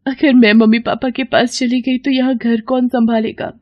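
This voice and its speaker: महिला की आवाज़ में ऊंचे सुर वाली दब्बू बहू. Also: female voice, on the high side, little pitch movement, moderate pace, dry recording, lo-fi sound, voice pushed up, lightly acted. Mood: sad, meek. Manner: submissive, polite. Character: meek, gentle, dutiful young daughter-in-law. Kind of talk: cartoon family melodrama.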